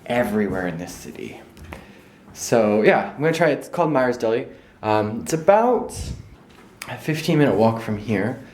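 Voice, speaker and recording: A man's voice: medium pitch, very animated, moderate pace, a little mellow. A young man from Canada talking casually to a handheld camera, rambling and correcting himself as he thinks aloud, partly outdoors in a park and partly indoors. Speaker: travel vlogger. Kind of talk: casual travel vlog.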